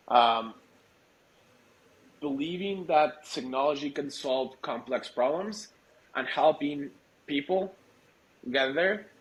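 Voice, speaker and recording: A man's voice: a little high, a bit bright, rough recording. A man from Argentina, answering interview questions conversationally, searching for words as he explains. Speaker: startup executive, interview guest.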